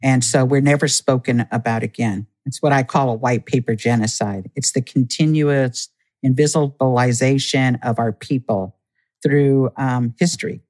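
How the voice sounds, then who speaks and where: A man speaking as an interview guest, earnest and explanatory, laying points out at a steady, measured pace. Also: mid-range voice, poor audio. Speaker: guest, Native community organizer. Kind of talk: conversational interview.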